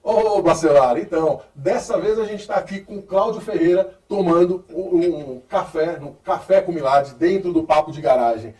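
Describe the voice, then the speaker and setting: A man interviews his guest in a friendly, chatty manner. His voice sits a little high. The room sounds echoey and the mic is somewhat distant. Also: moderate pace, few pauses, darkish tone. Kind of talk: interview questions.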